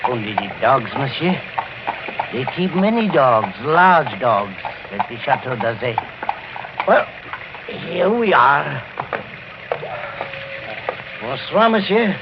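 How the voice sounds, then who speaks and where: A man's voice: mid-range voice, big pitch swings, takes it slowly, pauses often. An actor playing a fearful, ominous coachman in a radio horror drama. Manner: ominous, grave warnings. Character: fearful French coachman, and ominous old bearded doctor. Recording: muffled old radio with clear noise.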